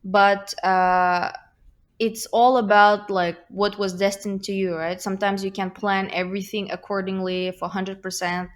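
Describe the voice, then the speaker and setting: A woman's voice: mid-range voice, level tone, a little slow. A young woman with a slight Russian accent, a guest talking thoughtfully in a casual interview, with many fillers and restarts. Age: young adult.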